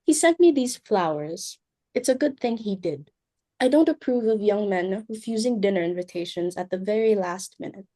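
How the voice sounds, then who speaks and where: A woman's voice: medium pitch, lively intonation, normal speed, dark tone, lightly acted. A woman playing a fussy, domineering society hostess and mother in a dramatic reading of a stage play, exclamatory and imperious. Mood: brisk, disapproving.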